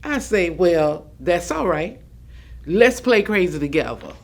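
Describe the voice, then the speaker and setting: A woman's voice: on the low side, expressive, takes it slowly, exaggerated voice. A woman performing a staged monologue as a plucky, persuasive older woman, telling her story in a folksy, emphatic way. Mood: wry, spirited. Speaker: stage actress performing oral history. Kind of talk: dramatic monologue, staged reading.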